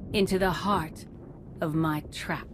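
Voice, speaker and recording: Slow, steely Jedi master. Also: female voice, fairly low pitch, fairly even intonation, noisy background. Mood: calm defiance. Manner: measured, deliberate. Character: serene wise female Jedi master, steely and defiant. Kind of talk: dramatic video game acting.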